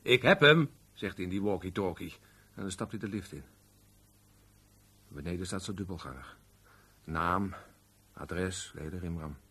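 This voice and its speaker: A man's voice: low voice, lively intonation, unhurried, pauses often, pushed and bright, slight background noise, exaggerated voice. An actor playing a sullen, grumbling middle-aged tradesman husband in a naturalistic radio play, aggrieved and plain-spoken. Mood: indignant. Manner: grumbling, plain colloquial speech.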